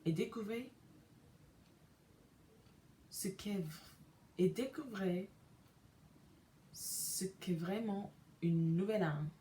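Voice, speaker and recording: Slow, careful English-Canadian woman reading. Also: mid-range voice, mellow, echoey room, a little hiss or hum. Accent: English-speaking Canadian. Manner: hesitant, pauses with euh, restarts mispronounced words. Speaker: podcaster reading a devotional. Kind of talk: slow careful reading aloud in a second language.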